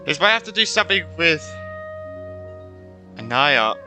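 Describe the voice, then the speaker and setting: A man's voice: a little high, sing-song, measured pace. A man giving casual playthrough commentary, musing aloud in an offhand way over game music. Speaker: gamer playing through a story quest.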